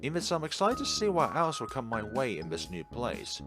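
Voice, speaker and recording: A man's voice: mid-range voice, wildly swooping pitch, brisk, over music. A man hosting a gaming video, reading a visual novel aloud and commenting casually.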